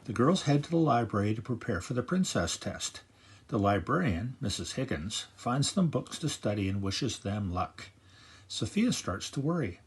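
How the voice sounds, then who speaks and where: An older man reading a children's picture book aloud in a gentle, grandfatherly storytelling manner. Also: medium pitch, sing-song, moderate pace, husky. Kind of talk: children's read-aloud narration.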